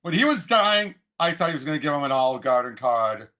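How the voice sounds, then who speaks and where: Man, high voice, sharp forceful tone, creaky edges, excitable casual podcast co-host, emphatic delivery. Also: fairly quick. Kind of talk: casual excited movie discussion.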